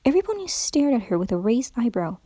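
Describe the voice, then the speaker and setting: A woman giving a dramatic reading of a story as narrator, with expressive storytelling. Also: fairly high pitch, quick pace, no room sound. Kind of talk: dramatic reading of fan fiction.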